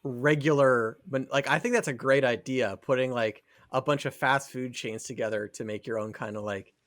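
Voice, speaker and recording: A man's voice: medium pitch, steady tone, normal speed, a bit bright, a little hiss or hum. A man co-hosting a podcast, chatting casually with friends. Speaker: podcast co-host. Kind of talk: casual chat.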